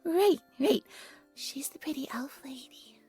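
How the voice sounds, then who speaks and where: A woman's voice: sits high, animated, measured pace, a little mellow. A woman voicing a timid but eager little bunny girl in an audio drama, with a small childlike voice and a hesitant, stammering delivery. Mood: shy, unsure. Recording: music under the voice.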